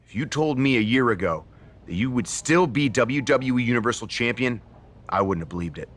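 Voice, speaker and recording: An actor playing a vain, exasperated wrestling general manager in a video game, with a self-important manner. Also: male voice, medium pitch, expressive, a little fast, a bit bright. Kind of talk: scripted video game cutscene acting.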